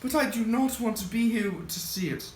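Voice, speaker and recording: A man's voice: high-pitched, normal speed, close to an everyday voice. A young man in an amateur school play acting a reluctant, wary middle-aged army sergeant major. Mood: exasperated. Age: young adult. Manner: reluctant, warning. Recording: echoey room, faint noise.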